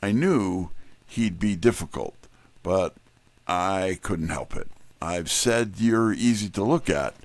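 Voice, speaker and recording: Slow, creaky man as a detective's sidekick. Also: deep voice, no room sound. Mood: earnest. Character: wry, candid male detective's sidekick narrator. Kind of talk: first-person scripted detective narration.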